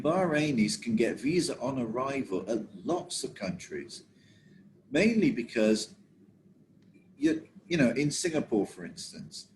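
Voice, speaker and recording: A man's voice: mid-range voice, a little mellow, a little hiss or hum. A middle-aged man giving a training lecture to travel agents, talking conversationally and often checking in with his listeners.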